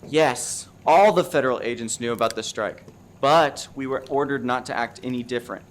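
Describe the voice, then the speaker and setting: A man's voice: medium pitch, normal speed, natural voice. A man acting as a cornered undercover federal agent in a comedic radio play, earnest and exasperated. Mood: confessing.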